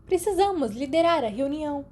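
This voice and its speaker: A woman with a Brazilian accent voicing a bossy, scolding teenage girl in an audiobook story, sharp and exasperated. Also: sits high, lively intonation, fairly quick, voice pushed up. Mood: commanding.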